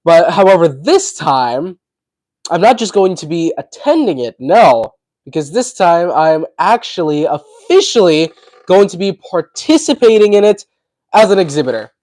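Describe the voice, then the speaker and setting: A man hosting a casual livestream, talking in a chatty, rambling way while congested and hoarse from a cold. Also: high voice, sing-song, normal speed, darkish tone, no echo. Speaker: livestream host.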